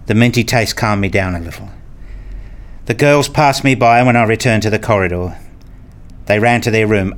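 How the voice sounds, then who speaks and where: A man narrating a scripted radio play in the first person. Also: lowish voice.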